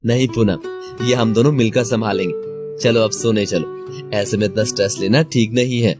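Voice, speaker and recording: A woman voicing a calm, protective young husband with measured, reassuring speech. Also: very deep voice, pitched below the performer's own voice. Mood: gently serious. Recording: music clearly playing behind the voice.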